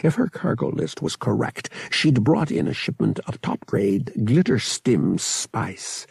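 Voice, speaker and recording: Husky man narrating an adventure story. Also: mid-range voice, warm tone, dry recording. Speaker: audiobook narrator. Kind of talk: dramatic scripted narration.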